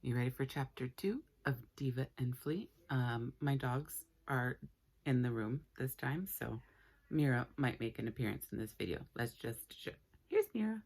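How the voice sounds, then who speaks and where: Woman, low voice, slightly husky, expressive children's storyteller, playful, dramatic pauses. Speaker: storyteller reading a children's book. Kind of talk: read-aloud story time for children.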